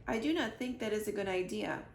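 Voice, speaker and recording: A woman plays a robot mother from a storybook. She is calm and caring, with measured, formal phrasing, a slightly creaky tone and a roomy echo. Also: mid-range voice, close to an everyday voice. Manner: measured, formal, without contractions.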